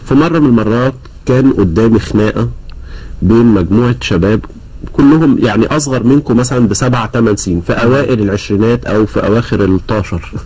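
A man in his thirties hosts a studio political talk show, speaking Arabic with an Egyptian accent. His voice is slightly husky and he talks quickly, posing measured questions to his guests over a clip-on mic. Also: fairly low pitch, darkish tone, low-quality recording. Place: TV studio, indoors. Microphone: lapel mic.

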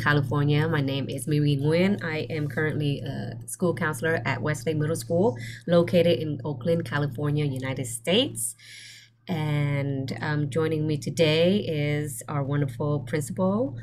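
A woman's voice: deep voice, fairly even intonation, normal speed. A woman school counselor born in Vietnam, introducing a session on a video call with frequent ums and restarts. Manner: hesitant, many ums and restarts. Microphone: webcam on video call.

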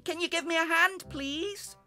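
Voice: medium pitch, big pitch swings, unhurried, pushed and bright, soft background music, pitched above the performer's own voice. A narrator voicing a small, eager, polite young boy breeze in a children's story, with an earnest, cheery manner. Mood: breathless, eager.

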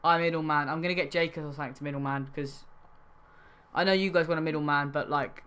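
A man's voice: on the high side, fairly even intonation, quick pace, sharp tone, clean tone. A young man from Wales streaming a game trading session, chatting casually and reacting to his live chat.

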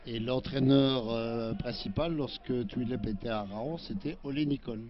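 A man, a former player, giving measured football analysis with lots of hesitant fillers. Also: mid-range voice, lo-fi sound.

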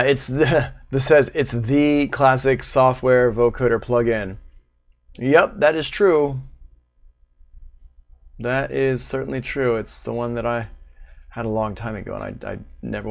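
A man in his thirties giving a casual, unscripted software tutorial as an instructor, thinking aloud as he goes. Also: medium pitch, fairly even intonation, normal speed, vocal fry. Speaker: online music production instructor. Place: small room at home, indoors, livestream.

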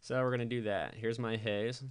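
A man giving a casual software tutorial voice-over, explaining things informally step by step. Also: mid-range voice, fairly even intonation, moderate pace, loud music behind the voice. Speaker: tutorial presenter.

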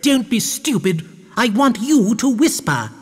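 A man's voice: very high-pitched, sing-song, unhurried, husky, long reverb tail, voice pushed up, full character voice. A man voicing an imperious, plaintive, scheming baroness in a storybook tale. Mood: scheming, commanding. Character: scheming, melodramatic baroness desperate for an heir. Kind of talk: storybook character voice.

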